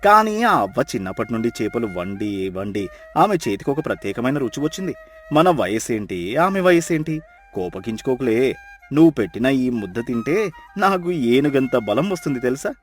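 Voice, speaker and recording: A man playing a young fisherman husband, good-humoured, devoted and emotional, with an expressive, dramatic storybook delivery. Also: mid-range voice, fairly quick, soft background music, natural voice. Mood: placating.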